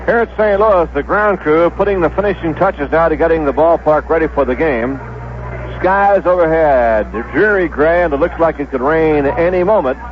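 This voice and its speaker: A man with an American accent and a slightly high voice announces baseball in a measured 1970s radio style. He repeats names so they are clear. The broadcast sounds dull and lo-fi. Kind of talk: 1970s radio sports commentary, pregame lineups. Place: stadium broadcast booth.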